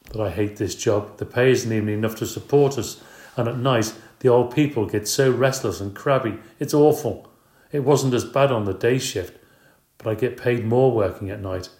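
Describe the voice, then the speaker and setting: A worn-out, complaining mother, sharp with sarcasm and pitched up above a low voice, as a man reads her lines. The recording sounds roomy and echoey. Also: natural voice. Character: tired, angry working mother.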